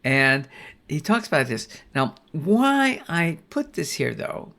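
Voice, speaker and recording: Older man, gravelly voice, folksy rambling Bible teacher, many restarts. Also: fairly high pitch, sing-song, takes it slowly, bright, forceful tone. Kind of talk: screen-share Bible study lesson.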